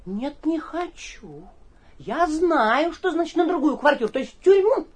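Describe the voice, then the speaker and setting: An actor playing a flighty, cowardly young gentleman from the capital who blusters, stammers and repeats himself in a theatrical radio comedy. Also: very high voice, sing-song, a little slow, exaggerated voice. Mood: indignant blustering. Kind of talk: theatrical radio play acting of a classic comedy.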